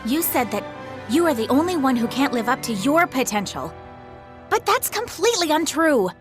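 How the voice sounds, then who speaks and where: A woman playing a kind, earnest young attendant to a princess in a video game, speaking gently and with heartfelt hesitation. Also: high voice, sing-song, moderate pace, over music. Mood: heartfelt encouragement. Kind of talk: dramatic video game cutscene acting.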